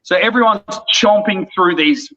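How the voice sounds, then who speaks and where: A man's voice: high-pitched, normal speed, dull audio. A man in his thirties telling a story in casual chat, acting out the people in it. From Australia. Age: 30s. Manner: animated, acts out voices in his stories. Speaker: podcast guest.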